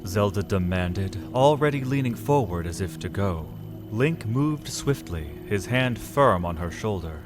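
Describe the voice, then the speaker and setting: A man narrating a scripted fantasy audio drama, solemn and measured, with deliberate pauses. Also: lowish voice, lively intonation, music in the background.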